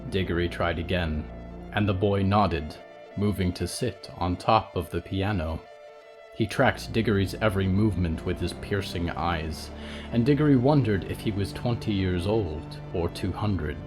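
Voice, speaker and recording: A man's voice: low-pitched, normal speed. A man narrating literary horror fiction in a solemn, melancholic storytelling manner. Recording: music under the voice. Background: instrumental music.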